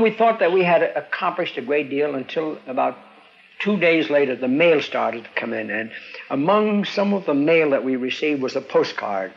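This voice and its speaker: Creaky older man reminiscing. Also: medium pitch. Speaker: pioneer radio engineer recalling his career. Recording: dull, noisy archival radio interview.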